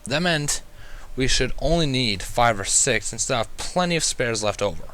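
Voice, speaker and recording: A man's voice: mid-range voice, lively intonation, moderate pace, pushed and bright, low-quality recording. Male amateur audiobook reader, mid-range voice, wry first-person narration with a dry touch. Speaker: amateur fan fiction reader.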